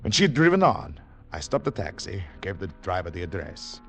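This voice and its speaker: Confidential agent, low lively voice, grave, guarded, deliberate, 1940s radio thriller, noisy old broadcast. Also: male voice, full character voice. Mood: brooding narration. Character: weary, wary male foreign confidential agent, on the run. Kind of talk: 1940s radio acting, first-person thriller narration.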